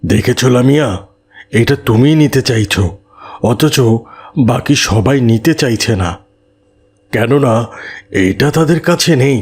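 Man playing a husky, patient village imam. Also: mid-range voice, expressive, measured pace, pauses often, darkish tone. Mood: patient, instructive. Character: kindly village imam, patient adult man giving moral lessons. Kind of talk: cartoon moral-tale dialogue.

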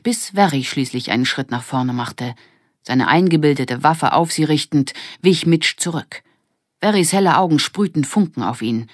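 A woman's voice: sits low, animated, moderate pace, no room sound, studio quality. A woman narrating a children's audiobook in a scripted storytelling style.

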